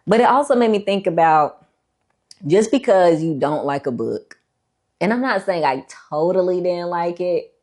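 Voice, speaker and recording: Woman, notably low pitch, casual vlog review style, conversational, emphatic, repeats herself for emphasis. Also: a little mellow. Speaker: book review vlogger. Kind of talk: casual chatty book review.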